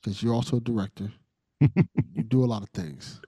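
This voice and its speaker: A man's voice: fairly low pitch, brisk, dark tone, breathy edge, no echo. A man hosting a casual interview, talking in an informal, slangy, buddy-like way. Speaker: podcast host.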